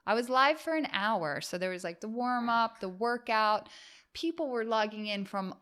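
A woman's voice: fairly high pitch, lively intonation, moderate pace, fairly bright tone. A woman from the United States, a yoga and fitness instructor, chatting casually in an interview, full of fillers and restarts. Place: home, Miami.

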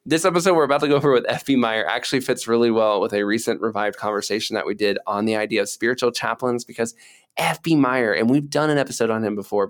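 A man co-hosting a podcast, explaining things in a casual, conversational style. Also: lowish voice. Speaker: podcast co-host.

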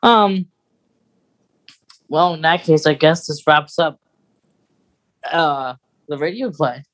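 A man co-hosting a podcast in casual chat, measured and thinking aloud as he goes. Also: a little high, fairly bright tone. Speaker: podcast co-host.

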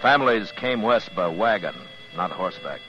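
An actor playing a stern, laconic frontier marshal in a 1950s radio western. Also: male voice, low voice, animated, normal speed, pushed and bright, lo-fi sound, faint music behind. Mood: measured narration. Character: stern, authoritative frontier marshal, laconic lawman narrating his case. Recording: vintage radio recording, band-limited.

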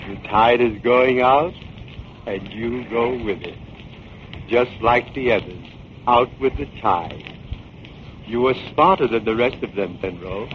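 A man's voice: mid-range voice, measured pace, pauses often, lightly acted. A man playing a sly, cringing, murderous crook in a 1940s radio drama. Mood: gloating, sinister. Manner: wheedling, obsequious, then gloating. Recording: muffled, noisy 1940s radio recording.

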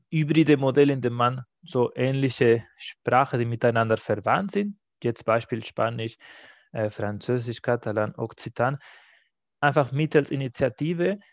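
A man fields questions after a talk, speaking in a measured, hesitant way as he thinks aloud. His voice sits in a middle range. The recording is dry and a little dull. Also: medium pitch, no room sound. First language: Catalan. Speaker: presenter answering questions. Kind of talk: academic talk and Q&A.

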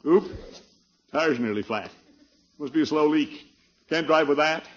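A man's voice: fairly high pitch, unhurried, close to an everyday voice. An actor playing a pompous, easily flustered middle-aged uncle in a 1950s radio sitcom, blustering and drawing out his words with nervous chuckles. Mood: nervously reassuring. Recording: muffled, noisy old radio recording.

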